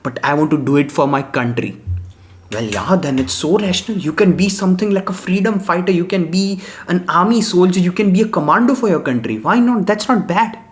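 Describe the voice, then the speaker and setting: A man's voice: high voice, lively intonation, a little fast. Earnest young man giving life advice. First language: Gujarati. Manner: earnest, preachy, repeats short punchy words. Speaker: self-help vlogger. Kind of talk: motivational monologue to camera.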